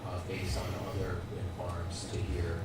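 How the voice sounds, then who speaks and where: A man presiding over a public hearing as judge, speaking haltingly with many fillers and restarts. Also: low voice, monotone. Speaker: administrative law judge. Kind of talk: procedural hearing questions. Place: hearing room. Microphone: room recording from a distance. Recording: echoey hall recorded from far off, loud background noise.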